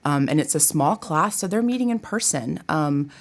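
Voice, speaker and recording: A woman's voice: low-pitched, expressive, moderate pace. A middle-aged woman from Tennessee chatting casually and expressively as an interview guest, with informal asides. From Tennessee, United States. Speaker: guest, theater professor. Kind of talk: casual chat.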